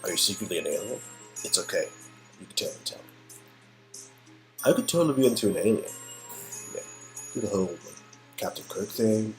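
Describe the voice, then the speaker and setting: An awkward, rambling lover, played by a man, in a romance game. The delivery is nervous and jokey, swinging widely in pitch from a low base, over music. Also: expressive, a little fast, pauses often, natural voice, poor audio. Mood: teasing.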